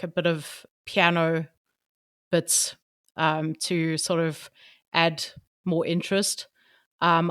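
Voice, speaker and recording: A woman's voice: deep voice, smooth. A woman answering interview questions about her music, hesitant with many fillers. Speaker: band keyboardist.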